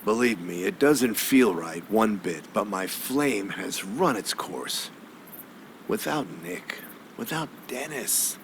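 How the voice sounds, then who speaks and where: Weary, gravelly old newspaperman. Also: on the low side, animated, noisy background. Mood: resigned. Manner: avuncular, measured, florid phrasing. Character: weary, courtly old newspaperman facing retirement. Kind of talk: video game voice acting.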